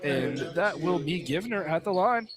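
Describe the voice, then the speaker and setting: A man's voice: a little high, expressive, normal speed, over loud music. A man doing live play-by-play commentary of a basketball game from inside a field house. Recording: noisy gym with ball bounces.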